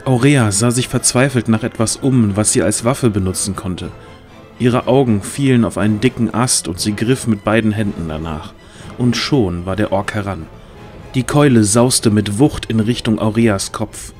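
A man's voice: lowish voice, normal speed, a little mellow. A man narrating a fantasy audio drama in a dramatic, scripted storytelling style. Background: music.

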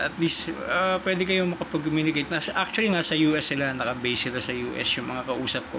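Filipino guy doing a casual app tutorial, hesitant and polite, creaky voice, noisy hum behind him. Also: mid-range voice. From Philippines. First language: Tagalog. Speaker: vlogger demonstrating a walkie-talkie app. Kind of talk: casual tutorial talk and radio-style chat.

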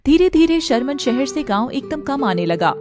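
Female narrator, Indian accent, high voice, scripted storytelling, clear background music. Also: very animated, normal speed, a little mellow.

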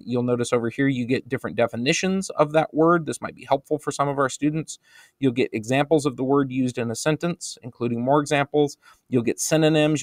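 A man with an American accent narrating a screen-recorded software tutorial for teachers, relaxed and explanatory, with frequent ums and uhs. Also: mid-range voice, no echo, clean studio sound. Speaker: instructional technology trainer.

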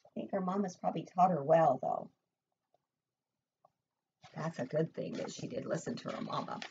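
A woman's voice: low voice, normal speed, vocal fry, mellow, dull audio, slight background noise. An older woman, a preschool teacher, reading a picture book expressively to young children and asking simple questions, recorded indoors at home. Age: older, 60s or above.

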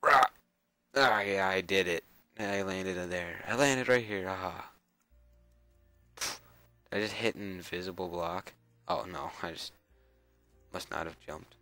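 A young man with a cold and a raspy, scratchy voice, mumbling casual commentary to himself while playing a game. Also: deep voice, a little slow, long pauses, sharp tone, dry recording, faint music behind. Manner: mumbling, self-talk with frequent fillers and repeats. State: hoarse with a cold. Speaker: gameplay video creator.

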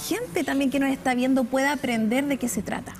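A Chilean woman in her thirties presents a live talk show from a studio. Her voice is high and animated, with faint music behind it. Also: a little fast. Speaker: TV host.

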